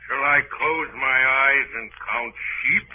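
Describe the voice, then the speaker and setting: A man's voice: medium pitch, slow pace, lightly acted. A male actor in a 1930s radio drama playing a grandiose, unctuous Dutch passenger who declaims floridly like a preacher. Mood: drunken, feverish. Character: fevered, grandiose, unctuous Dutch passenger posing as holy doctor. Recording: scratchy, tinny 1930s radio recording.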